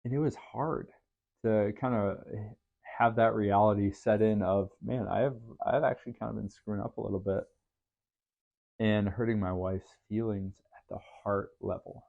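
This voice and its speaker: Deep-voiced earnest man, muffled podcast. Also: steady tone, moderate pace, vocal fry. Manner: earnest, faith-based, thoughtful. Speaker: podcast host. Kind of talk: reflective solo podcast monologue.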